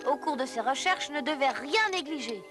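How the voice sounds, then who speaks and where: A woman's voice: sits high, lively intonation, normal speed. A woman reading scripted narration for an educational cartoon. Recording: music behind the voice.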